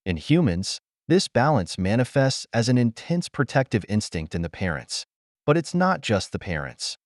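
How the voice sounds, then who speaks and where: A man reading scripted science fiction as a narrator, in a measured, dramatic storytelling delivery. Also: fairly low pitch, no room sound, clean studio sound.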